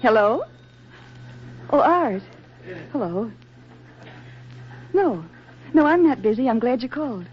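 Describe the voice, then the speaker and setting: Lovestruck young sweetheart, muffled recording. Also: female voice, fairly high pitch, very animated, takes it slowly, pauses often. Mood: dreamy, polite. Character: devoted, wistful young working woman in love. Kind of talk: 1940s radio acting. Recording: muffled vintage 1940s radio recording.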